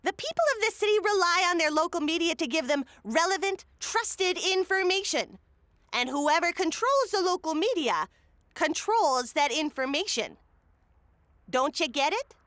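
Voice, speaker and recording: A woman's voice: very high-pitched, big pitch swings, normal speed, a bit bright, no room sound, studio quality, exaggerated voice. A woman playing a scheming, impatient city mayor in a comedic audio drama, brash and bossy.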